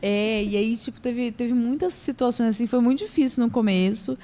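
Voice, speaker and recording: A Brazilian woman hosting a casual podcast chat, laughing often and talking in an animated, chatty way. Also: a little high, moderate pace. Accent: Brazilian Portuguese. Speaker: podcast host.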